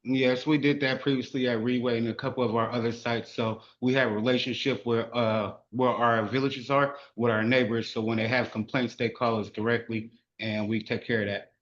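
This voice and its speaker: A man's voice: mid-range voice, flat delivery, brisk, few pauses, a bit bright, highs cut off. A man who manages a site, giving reassuring, matter-of-fact answers to a neighbor. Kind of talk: meeting answer.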